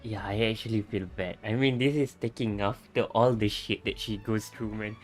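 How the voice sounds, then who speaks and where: A woman's voice: a bass voice, steady tone, normal speed, darkish tone. A woman streamer, chatting bluntly while playing a game and reacting with mild swearing. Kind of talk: casual game commentary. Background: game music.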